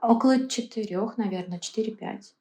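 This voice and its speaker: A woman's voice: mid-range voice, mellow. Woman guest in a roomy, echoey space. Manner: earnest, halting, with many self-corrections. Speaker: interview guest. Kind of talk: casual interview talk. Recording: roomy echo.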